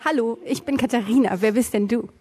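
A woman's voice: a little high, brisk, warm tone. A woman reporter who interviews parents and children on location and asks friendly questions.

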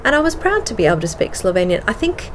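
A woman with an Australian accent in a casual interview, speaking with many pauses and ums, moving between English and Slovenian. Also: mid-range voice, big pitch swings, slight background noise. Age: adult with children. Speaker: guest.

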